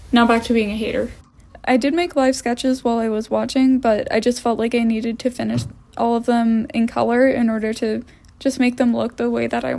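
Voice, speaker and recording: A woman's voice: fairly high pitch, gravelly, darkish tone. A young woman giving a casual, wry voice-over review of films for her art vlog. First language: English. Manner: wry, opinionated.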